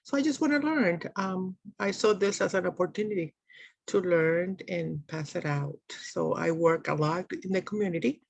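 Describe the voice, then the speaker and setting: Gravelly-voiced chatty woman, dry sound. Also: fairly low pitch, moderate pace, no echo. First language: Spanish. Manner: loose, chatty, with short broken phrases. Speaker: community worker. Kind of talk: introduction on a group video call. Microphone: video call.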